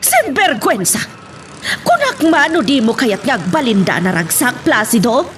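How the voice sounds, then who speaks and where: A woman's voice: a little high, sing-song, normal speed. A woman playing an indignant, hot-tempered landowner's wife and mother in an audio drama, with a heated, complaining manner. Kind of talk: Ilocano audio drama acting. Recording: faint background noise.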